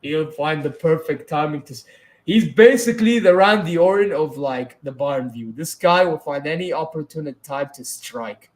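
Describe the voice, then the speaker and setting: A man from Saudi Arabia whose English carries a British-influenced accent, bantering on a livestream. His voice sits a little higher than most men's, and he fills his talk with "bro" and "you know what I mean". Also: normal speed. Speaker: co-host, channel owner. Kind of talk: casual livestream chat.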